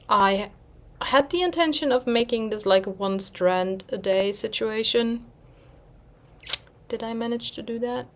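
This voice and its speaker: A young German woman chatting casually in English, rambling a little and pausing to search for words as she shows her stitching projects. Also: mid-range voice. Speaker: cross-stitch vlogger. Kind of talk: casual chat.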